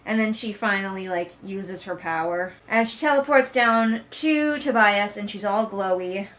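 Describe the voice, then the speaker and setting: A woman hosts a casual read-along in a medium-pitched voice. She sounds sarcastic and exasperated as she picks the story apart. Her delivery is comic and conversational, with casual swearing. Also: lively intonation, moderate pace, fairly bright tone, rough recording. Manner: acts out mocking character quotes. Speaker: host of a book read-along. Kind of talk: casual comic recap and critique.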